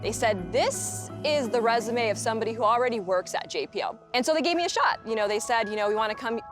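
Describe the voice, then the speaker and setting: A woman's voice: on the high side, expressive, fast, music in the background. A woman engineer talking informally about herself in a documentary interview. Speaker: NASA payload systems engineer.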